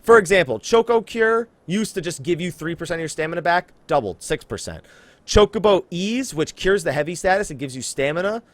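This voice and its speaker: A man hosting a casual gaming podcast, talking in an animated way and exaggerating for emphasis. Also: a little high, brisk, dry recording. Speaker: podcast host. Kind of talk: casual game podcast chat.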